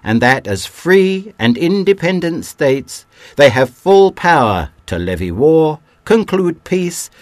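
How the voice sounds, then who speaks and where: A man giving a measured, declamatory reading of a historic document, dropping low at the ends of phrases. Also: sing-song, no echo. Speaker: reader.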